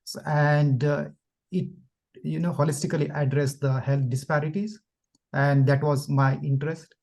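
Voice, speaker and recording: A man's voice: a little high, little pitch movement, moderate pace, darkish tone. A man from India introducing himself as a graduate student on an online panel, polite and thanking the hosts repeatedly. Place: video call.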